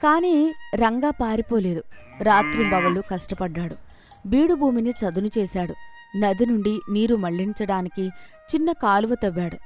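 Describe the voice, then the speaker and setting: Lady telling a village moral story, normal pitch, soft music underneath. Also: lively intonation, normal speed. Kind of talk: scripted folk tale narration.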